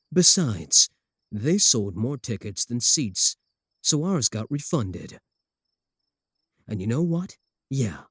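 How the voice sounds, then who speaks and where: Sardonic male werewolf suitor, played by a man, comedic ASMR roleplay: slightly deep, possessive, taunting, recorded dry and close. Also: breathy edge, dry recording, full character voice. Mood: defensive, flirtatious. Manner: taunting, sardonic, doting on the listener.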